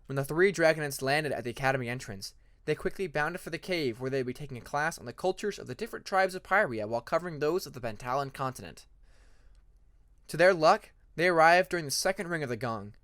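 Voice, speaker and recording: Man narrating, slightly high, dry sound. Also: animated, quick pace, fairly bright tone, no echo. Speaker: amateur audiobook narrator. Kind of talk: scripted story narration.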